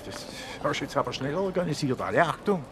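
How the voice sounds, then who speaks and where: A man's voice: on the high side, sing-song, fast, slight background noise. A male actor playing a police inspector who sings in a choir, with a Swabian accent, in a naturalistic radio drama. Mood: casual. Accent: Swabian German.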